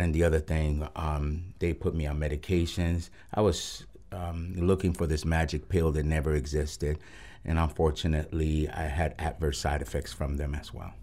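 A man from Rico, a Spanish speaker, giving a halting, reflective personal testimony as a guest pastor on a radio show. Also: rumbling low, fairly even intonation, dark tone. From Puerto Rico. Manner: halting and reflective, with frequent pauses.

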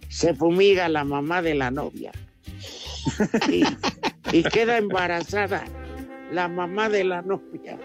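A man's voice: sits high, lo-fi sound. A man hosting a sports radio show, chatting casually and telling jokey stories in a mock-serious way. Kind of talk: joking casual chat.

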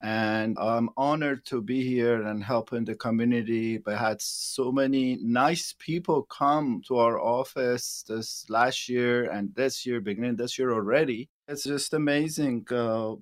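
A man's voice: medium pitch, unhurried, few pauses, no echo, clean studio sound. A man in his sixties, a financial advisor hosting a radio show, chatting casually with plenty of fillers and repeated words. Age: 60s.

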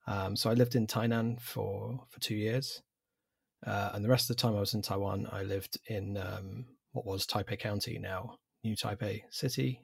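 Measured English man, forties, low husky voice, dry close recording. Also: no echo. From England. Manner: measured, thoughtful, with fillers and restarts. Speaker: publisher, guest. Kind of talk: interview answers.

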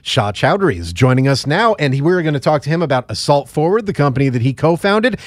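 A man's voice: a little high, very animated, fast talker, no echo, studio quality. A man hosting a morning radio show, talking in an upbeat, chatty way and plugging the show's website between segments.

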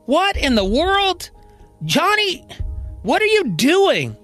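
A man's voice: very high-pitched, expressive, a little slow, pushed and bright, soft background music, pitched above the performer's own voice, exaggerated voice. A man voicing an exasperated, scolding woman in an audio drama, emphatic and indignant.

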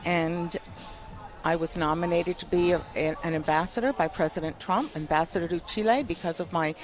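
A woman's voice: fairly low pitch, moderate pace, soft background music. A woman from Cuba, a native Spanish speaker, talking firmly as a political candidate and guest on a live radio show at an outdoor festival. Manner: firm, steady, conviction-driven.

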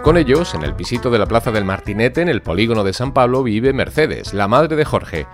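A man with an Andalusian accent narrates a radio drama intro, his pitch rising and falling expressively as he tells the story. Clear plucked guitar music plays behind him. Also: sing-song, fairly quick. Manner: warm storytelling, hushed at times. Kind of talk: scripted narration.

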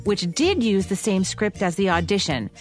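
A woman's voice: mid-range voice, lively intonation, moderate pace, faint music behind. An American woman co-hosting a radio history show, reading scripted narration.

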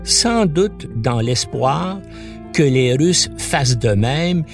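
A man's voice: mid-range voice, lively intonation, slow pace, vocal fry, music in the background. A man narrating a scripted documentary as a podcast host, in a dramatic storytelling manner.

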